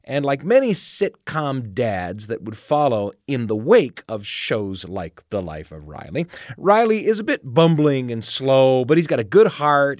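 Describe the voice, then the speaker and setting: A man's voice: mid-range voice, no echo, clean studio sound. A man presenting a radio show as its host in a conversational storytelling way. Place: radio studio.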